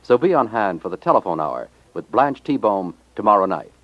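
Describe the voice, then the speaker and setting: A man's voice: on the low side, sing-song, normal speed, dry recording. A man reading scripted promotional announcements as a 1950s network radio announcer. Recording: muffled 1950s radio recording.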